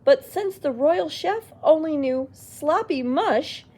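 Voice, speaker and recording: Female library storyteller, unhurried picture-book read with lots of swoops and small reacting asides. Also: sits high. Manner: expressive, exclaiming little reactions. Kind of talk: reading a picture book aloud to children with asides.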